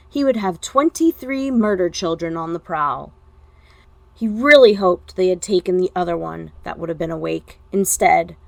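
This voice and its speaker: A woman reading scripted fiction as an amateur narrator, with wry, expressive storytelling. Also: on the low side, vocal fry, no echo.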